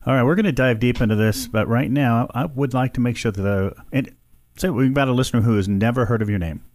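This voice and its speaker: A man's voice: medium pitch, quick pace, a little mellow. A man hosting a local radio talk show, chatting casually and rambling with many fillers. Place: radio studio.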